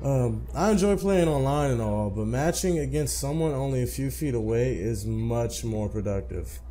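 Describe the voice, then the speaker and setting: A man's voice: medium pitch, expressive, normal speed, warm tone, a little hiss or hum. A man casually commentating and reading a visual novel's text aloud as a let's player.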